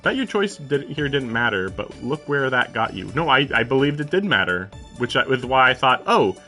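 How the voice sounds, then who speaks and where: A man's voice: medium pitch, sing-song, moderate pace, bright, forceful tone. A man live-streaming a visual novel, reading the narration aloud and breaking into casual, incredulous commentary with some swearing. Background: game music.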